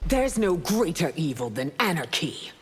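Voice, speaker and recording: A woman's voice: deep voice, very animated, moderate pace, husky, full character voice. A woman voicing a proud, imperious ruler in a fighting game, with a formal, haughty and scornful delivery. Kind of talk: fighting game character acting. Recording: reverberant hall.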